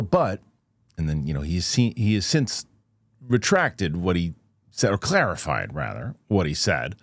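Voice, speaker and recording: A man's voice: low voice, dry recording. A man hosting a news show, speaking in a conversational, loosely rambling commentary style. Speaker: host of a UFO news show. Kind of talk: casual news commentary with host-read ad.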